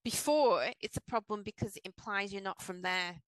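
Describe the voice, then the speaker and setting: A woman with an English accent softened by years in Australia, co-hosting a casual podcast discussion and making her points with rhetorical questions. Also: medium pitch, normal speed, no room sound, poor audio. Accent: English accent with some Australian. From England. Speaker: podcast co-host.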